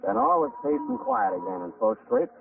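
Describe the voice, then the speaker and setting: A hard-boiled American private eye narrates a 1940s radio detective story with dry wit. His gravelly voice swoops up and down through a thin, noisy old broadcast recording. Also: fairly high pitch, a little fast, far from the mic, narrow, tinny audio, full character voice. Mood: weary, wry. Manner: wry, deadpan.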